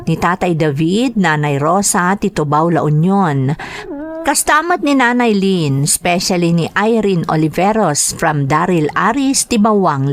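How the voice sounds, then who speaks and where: A woman with a Filipino accent hosting a radio show, reading out greetings and listeners' letters. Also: on the low side. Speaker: radio host and letter reader.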